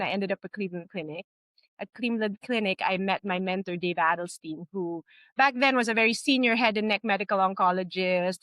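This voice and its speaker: A woman's voice: mid-range voice, fairly bright tone, dry recording, low-quality recording. A middle-aged woman from the Philippines, speaking as a medical podcast guest in a conversational storytelling style.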